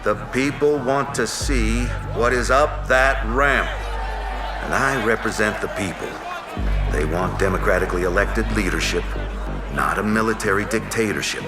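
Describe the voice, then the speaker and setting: A man's voice: fairly low pitch, normal speed, natural voice. A man playing a defiant older protest leader, speechifying in an audio drama. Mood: defiant, firm. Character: defiant grandfather leading a citizens' protest, speechifying. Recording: echoey space, music underneath.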